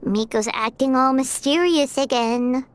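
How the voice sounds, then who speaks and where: A woman voicing a small childlike fairy companion in an anime game, squeaky, curious and easily baffled. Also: measured pace, smooth. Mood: concerned, puzzled. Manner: refers to self in third person, exclaiming.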